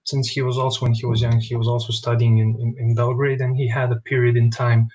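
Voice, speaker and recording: Young bosnian guy on a video call, calm, lots of "you know". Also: medium pitch, fast talker, husky, dull audio. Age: young adult. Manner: relaxed, thoughtful, "you know" fillers. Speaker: basketball player guest. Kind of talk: interview over video call. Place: small home room.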